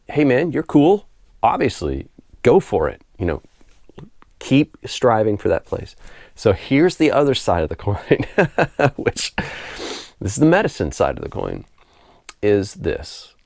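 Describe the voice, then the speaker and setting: A middle-aged man with a hoarse voice from a head cold, talking to camera at home in a relaxed, rambling way, often repeating words as he gathers his thoughts and laughing softly now and then. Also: fairly low pitch, no room sound, clean studio sound. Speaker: spiritual author and video host. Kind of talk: casual unscripted monologue to camera. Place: home room.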